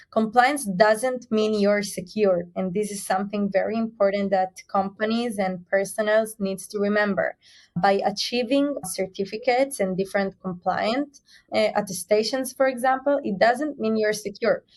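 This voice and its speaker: Female expert guest, native Spanish speaker from Chile, medium pitch, explains and restates points in interview answers. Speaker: guest, head of information security.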